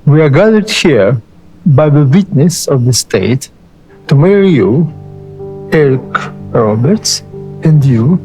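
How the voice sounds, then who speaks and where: Male officiant, solemn, ceremonial, very unhurried, slightly high pitch, for drama. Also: expressive, warm tone, faint music behind, poor audio. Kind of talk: dramatic scripted acting.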